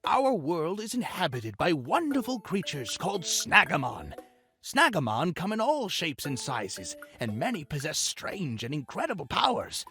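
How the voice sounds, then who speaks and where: Actor playing a theatrical, animated video game professor. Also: mid-range voice, moderate pace. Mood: bright, welcoming. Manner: effusive, dramatic, expository. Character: cheerful, theatrical creature-research professor guiding a trainer. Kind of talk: scripted visual novel character acting. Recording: background music under the voice. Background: game music.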